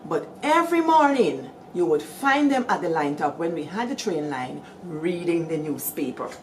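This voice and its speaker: A middle-aged Guyanese woman hosting, telling village stories in a lively, animated way and calling out to her listeners. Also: low voice, moderate pace, a little hiss or hum, low-quality recording. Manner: lively, animated storytelling with call-outs to listeners. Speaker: storyteller host. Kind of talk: storytelling talk.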